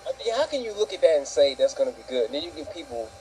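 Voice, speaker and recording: A man's voice: a little high, sing-song, rapid-fire, darkish tone, slight background noise, poor audio. A man co-hosting a casual opinion show, ranting indignantly and swearing as he goes. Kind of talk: casual opinion rant with a co-host.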